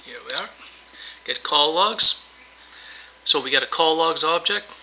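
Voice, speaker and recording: A man presenting a software tutorial, narrating a screen demo in a casual, explanatory, step-by-step way. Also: fairly high pitch, expressive, a little slow, noisy background. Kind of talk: screencast demo narration, semi-improvised walkthrough.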